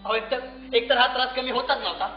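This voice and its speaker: A man acting a put-upon, exasperated father in a comic stage play, pleading loudly and theatrically with his listeners. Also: very high voice, steady tone, fast. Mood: aggrieved complaining. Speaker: stage actor and narrator. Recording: echoey hall, muffled sound, faint music.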